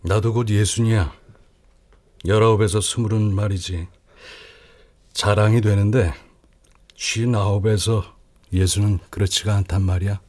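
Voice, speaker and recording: A man playing a gruff, world-weary old doctor. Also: lowish voice, steady tone, measured pace, pauses often, darkish tone. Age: late 50s. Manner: gruff, dry, plainspoken.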